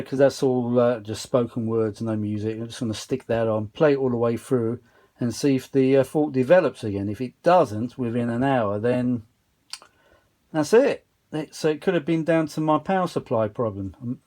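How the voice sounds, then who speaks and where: A man presents a hobby demonstration from an indoor workbench, unscripted and informal. His voice sits at a middling pitch. He rambles along with open enthusiasm, chatting to the viewer from behind the camera. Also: mellow. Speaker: vintage audio hobbyist presenter. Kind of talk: casual unscripted chat while demonstrating a machine.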